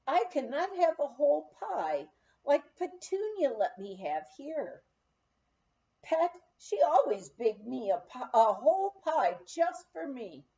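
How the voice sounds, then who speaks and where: Woman playing young Frenchman, eager and charming, broken English, slow halting delivery, storybook reading, lo-fi sound. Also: high-pitched, big pitch swings, mellow, pitched above the performer's own voice, exaggerated voice. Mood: earnest, wistful. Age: young adult.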